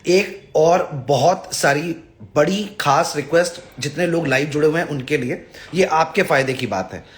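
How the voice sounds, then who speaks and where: A man addresses followers on a live stream, blunt and exasperated, scolding and pleading with emphatic repetition. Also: a little high. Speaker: visa advisor and live-stream host.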